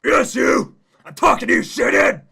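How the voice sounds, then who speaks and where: A furious, foul-mouthed middle-aged dad in a comic storybook, screaming insults. The voice is pushed very high and shouted far above the reader's own. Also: male voice, moderate pace, vocal fry, breathy edge, full character voice. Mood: furious yelling. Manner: yelling, swearing. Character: angry bald fat father, foul-mouthed and aggressive.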